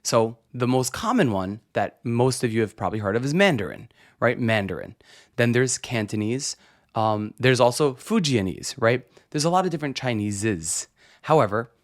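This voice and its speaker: Young guy doing a solo podcast, chatty, keeps saying right?, average pitch. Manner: chatty, frequent "right?" tags and restarts. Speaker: podcast host. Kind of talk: casual solo podcast monologue.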